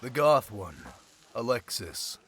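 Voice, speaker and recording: A man's voice: medium pitch, lively intonation, takes it slowly, heavy background noise. A man playing a sly, centuries-old thief turned security specialist, talking in a casual, teasing way in an audio roleplay drama. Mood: businesslike, confiding. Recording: loud crowd chatter behind voice.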